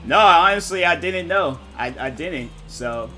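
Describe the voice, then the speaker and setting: List: man, game streamer, casual rambling chat, bright tense tone, faint music behind. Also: a little high, expressive, a little slow. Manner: rambling, filler-heavy, thinking out loud.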